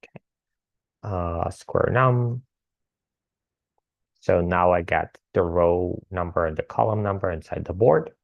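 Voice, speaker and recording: A man lecturing on code as he writes it, casual and thinking aloud with many fillers, his voice slightly hoarse. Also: low-pitched, takes it slowly, long pauses, dry recording, dull audio. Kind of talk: live coding lecture.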